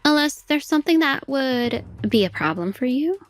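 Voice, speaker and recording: Woman acting sharp-witted teasing female, fairly high lively voice, mock-polite, manga dub, clear music behind. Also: moderate pace. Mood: cool challenge. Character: bold, teasing, sharp-witted young woman exposing a liar.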